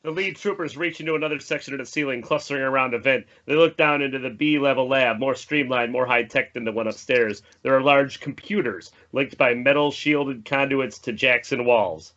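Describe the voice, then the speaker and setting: A man narrates script directions for a podcast table read. His voice is mid-pitched with a bright, forceful edge. The recording is a little muffled. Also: a little fast, hardly any pauses. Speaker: narrator reading stage directions.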